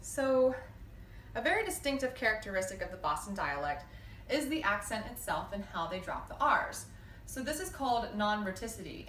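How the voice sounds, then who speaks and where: A woman's voice: medium pitch, a bit bright, a little hiss or hum. Brief: young woman from Alabama, student presenter, roomy sound, mic set back. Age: young adult. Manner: explanatory, with restarts and light laughs. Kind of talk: class presentation to camera. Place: indoor room against a plain wall. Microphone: camera mic at a distance. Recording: roomy, distant camera audio.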